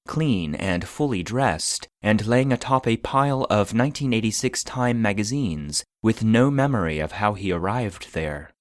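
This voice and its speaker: A man reading scripted narration in the style of a scientific report, formal and deadpan. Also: on the low side, dry recording, clean studio sound.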